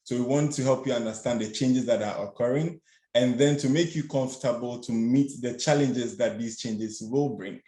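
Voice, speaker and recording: A young man from Ghana presenting a student finance webinar on a video call, nervous and halting, stammering and restarting his sentences. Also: medium pitch, mellow. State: a bit nervous. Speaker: student workshop facilitator. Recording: roomy echo.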